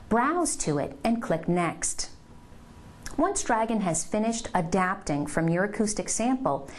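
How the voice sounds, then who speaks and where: A woman reading scripted instructional narration for a software tutorial, giving clear step-by-step directions. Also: medium pitch.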